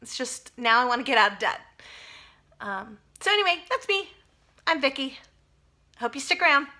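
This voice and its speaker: A woman's voice: high-pitched, big pitch swings, moderate pace, bright, forceful tone. A woman in her forties, a Spanish speaker from childhood, chatting casually and a bit rambly in a personal vlog. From United States. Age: 40s. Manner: chatty, rambling, self-deprecating with frequent fillers. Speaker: vlogger.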